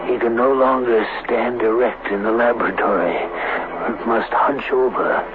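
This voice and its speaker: An actor playing an obsessed, proud male scientist in a 1970s radio drama, speaking in a deliberate, grandiose, theatrical manner. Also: medium pitch, fairly even intonation, narrow, tinny audio, loud music behind the voice, lightly acted. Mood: obsessive. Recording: noisy, thin 1970s radio broadcast.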